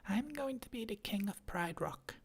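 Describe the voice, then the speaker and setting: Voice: medium pitch, expressive, moderate pace, breathy edge, voice pushed down. A person whispering the lines of an eager, boastful young male lion cub with a cheeky manner.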